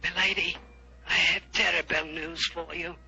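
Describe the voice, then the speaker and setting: Sharp-toned, solemn messenger. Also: male voice, fairly high pitch, little pitch movement, normal speed, gravelly, full character voice. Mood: grave. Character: grave male messenger breaking terrible news to a lady. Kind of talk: 1940s radio drama acting. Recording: dull old radio recording with music.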